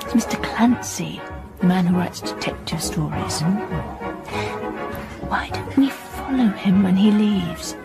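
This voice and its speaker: An actress playing a frank, curious young English hairdresser in a radio drama, with an English accent and a matter-of-fact manner. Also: female voice, medium pitch, animated, unhurried, gravelly, loud music behind the voice. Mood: conspiratorial.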